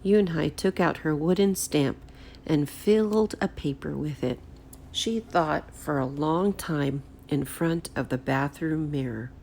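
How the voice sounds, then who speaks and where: A woman's voice: low voice, slow pace, gravelly, a little mellow, a little hiss or hum. A woman teacher reading a picture book aloud in a careful storytelling style for children. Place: indoors at home, echoey room. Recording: roomy, echoey home recording.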